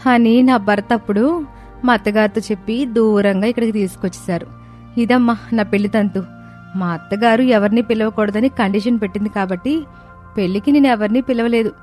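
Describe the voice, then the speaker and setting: A woman's voice: mid-range voice, brisk, a little mellow, faint music behind, close to an everyday voice. A woman voicing a poor but proud young daughter-in-law in a story, plain-spoken and earnest. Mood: recounting sadly.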